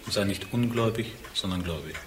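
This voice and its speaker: An actor playing a solemn pastor in a radio play, reading scripture in a measured, subdued, reverent way. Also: male voice, lowish voice, close to an everyday voice. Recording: birdsong and ambient noise behind.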